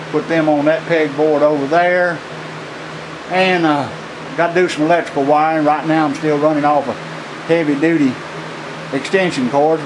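A middle-aged man, talking a little higher than most men as he ambles through a cramped metal workshop. He rambles in a friendly way, stopping often with "uh." The handheld camera audio carries a steady hiss and an air conditioner hum. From Louisiana, USA. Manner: rambling, friendly, with many pauses and fillers. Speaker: knife maker. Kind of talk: casual workshop tour. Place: small metal workshop building, indoors. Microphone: handheld camera mic.

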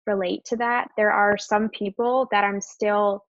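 A woman's voice: medium pitch, little pitch movement, a little slow, dry recording. A young adult woman who stutters at times, presenting slides in a webinar in an open, conversational way. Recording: dull, lo-fi webinar audio.

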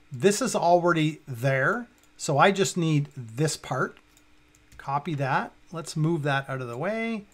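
A man in his fifties from Canada casually talking through a step-by-step screen tutorial as he does it. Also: on the high side. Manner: talks himself through each step. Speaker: tutorial presenter. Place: indoors, at a computer.

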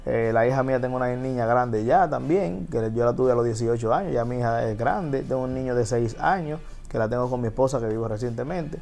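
A man in his thirties with a Dominican accent, a DJ guest chatting casually about his life in a television studio interview, relaxed and prone to restarts. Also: mid-range voice, fairly quick, creaky, few pauses. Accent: Dominican Spanish. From Dominican Republic. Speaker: guest DJ and TV host. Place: TV studio set.

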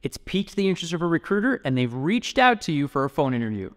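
A man's voice: a little high, very animated, quick pace, in a large hall. A man in his thirties with an American accent, presenting a scripted show as an upbeat, polished host. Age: 30s. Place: indoor office, close to camera. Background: background music.

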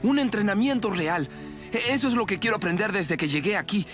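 Teenage cartoon boy hero, eager, earnest, lively pitch, music behind. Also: brisk. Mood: wistful, eager. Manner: earnest, polite. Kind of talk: Spanish-language cartoon dub acting.

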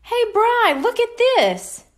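A woman's voice: very high-pitched, wildly swooping pitch, slow, pitched above the performer's own voice, heavily acted. A woman voicing a tidy, sensible young boy who teases, with a matter-of-fact manner, in a children's storybook. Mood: amused.

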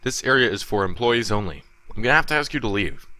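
Rule-bound park staffer for audio drama male actor; forceful voice, dry recording. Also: lowish voice, animated, quick pace, no room sound. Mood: firm. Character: firm, by-the-book park employee. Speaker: park employee.

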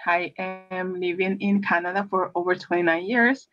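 A woman's voice: fairly low pitch, steady tone, normal speed, a bit bright, soft background music. A woman, a guest chatting over a video call, hesitant with many restarts. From El Salvador. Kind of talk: casual interview chat. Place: room with brick wall. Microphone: webcam on a video call. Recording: dull lo-fi sound.

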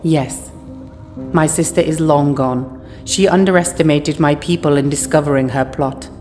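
A regal alien empress, played by a woman, low-voiced, formal and clipped. A long reverb trails her words, with faint music behind. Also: normal speed, a little mellow, natural voice. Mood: stern.

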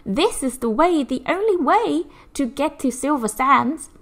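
A woman's voice: high voice, animated, unhurried, pitched above the performer's own voice. A woman voicing a spirited young female circus acrobat in a storybook, giving emphatic, encouraging instruction.

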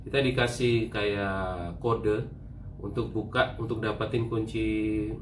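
A man's voice: on the low side, level tone, slow, echoey room, a little hiss or hum. A man in his thirties, a travel vlogger, chatting casually in a hotel room and explaining things step by step. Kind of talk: casual chat.

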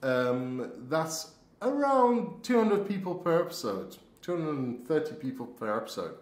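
A man hosting a podcast in casual, halting talk with a dry, teasing streak. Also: fairly high pitch, big pitch swings. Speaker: podcast host. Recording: roomy, echoing sound.

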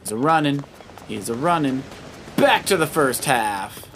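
A man's voice: medium pitch, lively intonation, a little slow, fairly bright tone, slight background noise. A man, middle-aged, giving casual let's play commentary in a playful, coaching way as a father guiding his child. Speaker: father guiding child through a video game.

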